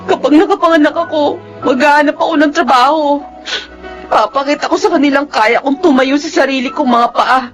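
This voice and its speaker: Very high-voiced hurt young Filipina wife by actress. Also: female voice, a little fast, faint music behind, lightly acted. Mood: tearful, resolute. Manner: plaintive, earnest, emotionally charged. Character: hurt, defiant young pregnant wife standing up for herself. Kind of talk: melodramatic audio drama acting.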